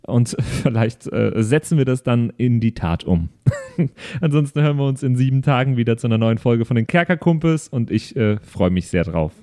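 A male podcast host in casual, improvised chat. His voice sits at a middle pitch, and he talks in a hesitant, rambling manner, as if thinking out loud. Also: animated, normal speed. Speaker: host of a pen-and-paper audio play podcast.

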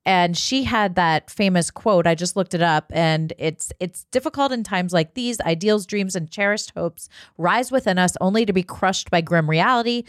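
A woman from Ohio with an American accent, hosting a conversational discussion in an articulate, measured way. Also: lowish voice, dry recording, clean studio sound. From Ohio, United States. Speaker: podcast host.

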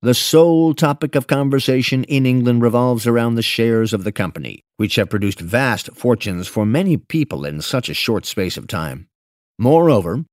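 A male narrator delivers scripted, audiobook-style prose in a very dry, close studio sound. His pitch is mid-range but moves widely, giving key phrases expressive weight. The delivery is measured and articulate. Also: no room sound. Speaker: narrator reading an investment memo.

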